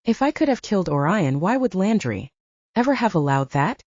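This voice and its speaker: Narrator as anxious young woman heroine, earnest n questioning, pitch moves a lot, slightly muffled audiobook. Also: very animated, normal speed, a little mellow, dry recording. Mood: troubled.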